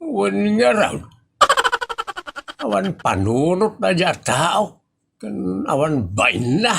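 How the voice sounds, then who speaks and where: A man's voice: sits high, very animated, slow, vocal fry, a bit bright, breathy edge, exaggerated voice. A man playing a grumbling, scornful, indignant husband in a comic village audio drama, with a Filipino Ilocano accent.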